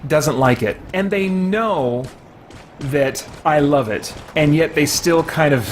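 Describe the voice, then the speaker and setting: A man's voice: a little high, slow pace, a little hiss or hum. A middle-aged man hosting in casual chat, with a wry, informal, complaining way of talking. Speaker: host. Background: music.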